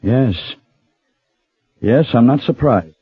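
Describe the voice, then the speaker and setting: An actor playing a somber, shaken widower in a radio drama, speaking tersely and gravely. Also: male voice, medium pitch, animated, slow pace, full character voice. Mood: grave, numb. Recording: thin phone-like old radio audio.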